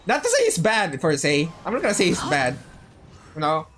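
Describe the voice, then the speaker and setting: A woman's voice: deep voice, wildly swooping pitch, brisk. A woman livestreaming a video game, chatting casually and bantering with viewers, often repeating her words and phrases. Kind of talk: casual gameplay chat with viewers.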